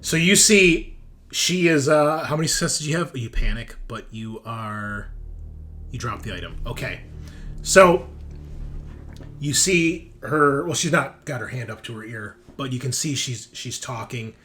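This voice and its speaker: A man's voice: mid-range voice, lively intonation, measured pace, fairly bright tone. A man narrating a tabletop roleplaying game as game master, switching between dramatic, emphatic description and casual asides about the rules.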